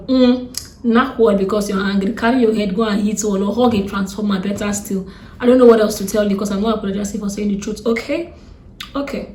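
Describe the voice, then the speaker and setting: A young Nigerian woman with a strong Nigerian accent, talking fast and bluntly in a ranting vlog, exasperated and defiant. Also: medium pitch, reverberant. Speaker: vlogger.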